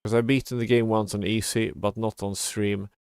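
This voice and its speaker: Low-voiced, gravelly man streaming a game. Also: little pitch movement, normal speed, a little mellow. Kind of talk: casual live gameplay commentary. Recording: game music behind the voice. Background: video game music.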